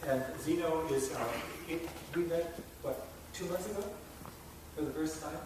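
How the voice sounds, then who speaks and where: A man's voice: a little high, measured pace. Man introducing speakers in an echoey hall. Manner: warm praise, conversational, frequent fillers. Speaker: program organizer introducing speakers. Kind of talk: conference panel talk. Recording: echoey hall, distant mic, noise.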